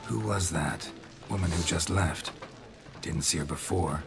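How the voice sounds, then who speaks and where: A world-weary monster hunter from a fantasy game. His voice sits low, and he speaks in dry, clipped, deadpan phrases over background music. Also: a little slow, creaky. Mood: dry, curious. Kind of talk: fantasy video game dialogue.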